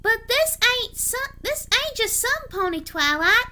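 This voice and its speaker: A woman voicing a feisty little girl pony in a story, folksy, excitable and protesting. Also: extremely high pitch, measured pace, pitched above the performer's own voice, full character voice.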